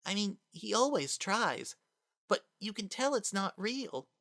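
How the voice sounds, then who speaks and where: A woman playing an earnest, anxious, kind young boy who speaks plainly and haltingly in a dramatic reading. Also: mid-range voice, very animated, a bit bright, no echo, studio quality. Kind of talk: dramatic reading of fan fiction.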